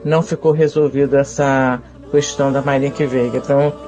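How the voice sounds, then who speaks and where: A man's voice: mid-range voice, flat delivery, moderate pace, highs cut off. A Brazilian man giving an informal spoken explanation in plain conversational sentences. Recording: music clearly behind the voice.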